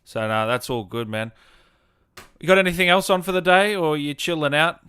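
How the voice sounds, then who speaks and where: Clear, bright-voiced man hosting a gaming stream. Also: a little high, normal speed, dry recording, studio quality. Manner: effusive, matey, informal. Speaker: gaming show host. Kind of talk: casual livestream interview and chat.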